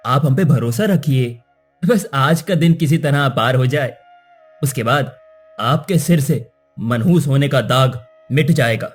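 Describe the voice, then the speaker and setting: A man's voice: mid-range voice, a little slow, breathy edge, faint music behind. A man playing a principled young prince in a cartoon story, speaking earnestly and formally. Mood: reassuring.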